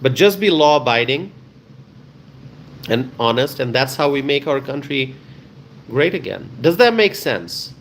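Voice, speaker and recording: A man hosting a live stream, chatting casually with viewers in a halting way, often repeating himself and pausing. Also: mid-range voice, sing-song. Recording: clear ambient background noise.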